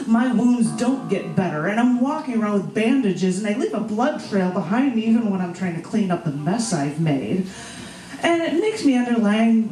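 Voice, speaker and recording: A woman speaks from the stage of a live poetry open mic. Her voice sits at a middle pitch and comes from some way off the microphone. The room is echoey, and music plays behind her. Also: a little mellow, recorded from a distance. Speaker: performance poet and open mic co-host. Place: small bar venue stage at an open mic.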